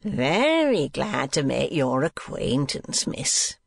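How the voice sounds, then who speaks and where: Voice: mid-range voice, sing-song, slow, creaky, breathy edge, no echo, clean studio sound, exaggerated voice. A performer voicing a vulgar schoolmaster from a Victorian novel, with coarse, uneducated speech and oily jocularity. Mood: leering, jocular.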